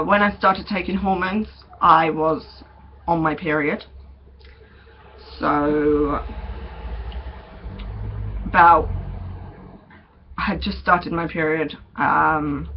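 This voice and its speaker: A man's voice: fairly high pitch, gravelly. A young man, chatting casually in a vlog update, hesitant with many ums and small laughs. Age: young adult. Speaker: vlogger. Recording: dull lo-fi recording with faint noise.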